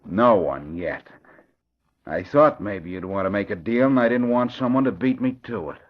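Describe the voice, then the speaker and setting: An actor playing a smug, scheming nightclub owner and blackmailer in 1940s radio style. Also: male voice, on the low side, animated, normal speed. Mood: smug, confident. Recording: dull old radio recording.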